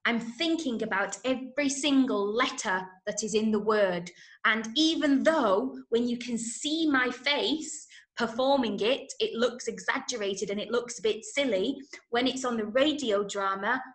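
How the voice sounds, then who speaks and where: A woman with an English accent teaching an online drama workshop, enunciating clearly and coaching with encouragement. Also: on the high side, unhurried. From Manchester, England. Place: indoor room, online video call. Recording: roomy, echoing video-call sound.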